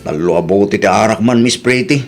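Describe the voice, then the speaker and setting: A man's voice: lowish voice, a little fast, vocal fry, soft background music. A man in his thirties with an Ilocano accent playing an eager, shyly flirtatious nephew in a radio comedy. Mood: flirtatious, awkward. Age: 30s.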